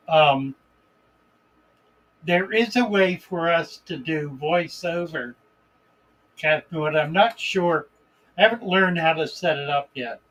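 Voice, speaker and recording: A man hosting a live stream in a relaxed, unhurried way, greeting viewers by name and reading their comments aloud. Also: on the high side, low-quality recording. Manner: relaxed, unhurried, chuckles between remarks. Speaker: livestream host.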